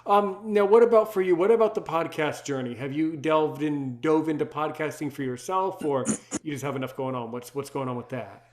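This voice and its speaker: A man with a fairly high voice hosts a casual interview podcast. He is chatty and teasing, and he likes to repeat a phrase as he plays with it. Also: fairly quick, hardly any pauses, background noise. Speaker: podcast host.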